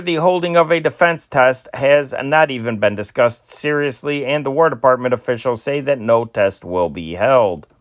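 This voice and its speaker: A man's voice: mid-range voice, no room sound, clean studio sound. A man reading old newspaper stories aloud in an amateur style, sometimes stumbling and restarting words. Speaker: news reader.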